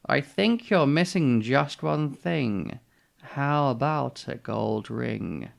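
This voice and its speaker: Guy doing a sly storybook bird, really slow drawl, condescending, fake nice, bit creaky. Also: mid-range voice, animated, full character voice. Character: sly, slow-talking male bird offering false kindness.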